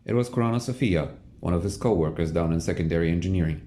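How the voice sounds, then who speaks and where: A man's voice: sits low, fast, mellow, slight background noise. A man reading scripted third-person narration for an audio drama, as a storyteller. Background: music.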